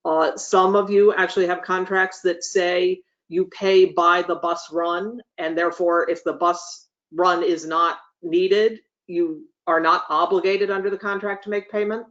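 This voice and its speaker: A meeting facilitator giving careful, measured explanations and answers, choosing words hesitantly in an online meeting. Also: mid-range voice, flat delivery, fairly bright tone. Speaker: meeting facilitator answering board chairs' questions. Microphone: video call. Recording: dull lo-fi video call audio.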